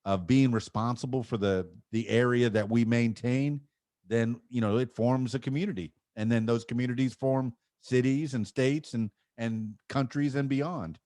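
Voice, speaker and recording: A man's voice: medium pitch, fairly even intonation, moderate pace, mellow, dry recording, studio quality. A man in his fifties from Miami, a podcast guest who talks in a thoughtful, wry way with self-mocking jokes. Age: 50s. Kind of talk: casual interview chat.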